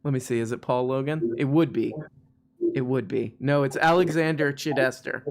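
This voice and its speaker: A man's voice: medium pitch, moderate pace, vocal fry. A man hosting a live call-in stream, chatting casually with callers and joking with mock outrage. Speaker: live show host taking callers.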